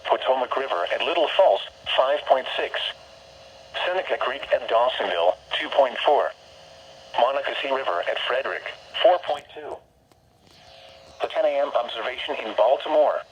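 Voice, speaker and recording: Automated male weather announcer, American accent, mid pitch, formal and impersonal, synthetic delivery over dull-sounding radio. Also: lively intonation, normal speed. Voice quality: synthetic text-to-speech voice. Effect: weather radio broadcast. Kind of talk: scripted weather bulletin readout. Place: broadcast received on a radio. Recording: muffled, noisy weather radio reception.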